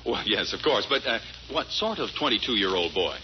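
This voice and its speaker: A man's voice: on the low side, animated, normal speed, background noise. A man announcing and narrating a scripted 1940s radio comedy show. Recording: muffled 1940s radio recording, loud music.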